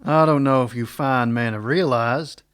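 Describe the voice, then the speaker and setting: An actor playing a well-mannered small-town marshal in a western radio drama, wry, plain-spoken and wary. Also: male voice, medium pitch, normal speed, a bit bright, clean tone, close to an everyday voice. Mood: wry, skeptical.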